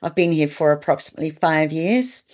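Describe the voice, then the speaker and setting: A woman's voice: low voice, expressive, fairly quick, darkish tone. A woman with a New Zealand accent hosting a webinar as a migration consultant, friendly and a little hesitant, with fillers. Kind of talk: webinar presentation.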